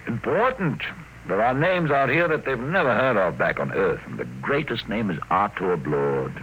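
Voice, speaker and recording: An actor playing a fussy, admiring aide to a tycoon, emphatic and given to repeating himself, in old-time radio drama style. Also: male voice, fairly low pitch, expressive, normal speed, a bit bright. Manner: emphatic, repeats words for effect. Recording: noisy vintage radio broadcast.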